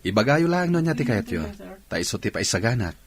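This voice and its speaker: A man's voice: mid-range voice, sing-song, a little fast, lightly acted. Actor as courteous restaurant boss, mid-range male voice, accommodating radio drama delivery. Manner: polite, accommodating. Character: hospitable orphaned restaurant owner, courteous young boss. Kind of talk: Ilocano radio drama acting.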